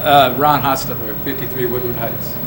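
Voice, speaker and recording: Male resident at the public mic; halting questions; echoing room. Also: medium pitch, steady tone. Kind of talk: public comment. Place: city council chamber. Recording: echoey hall with hum.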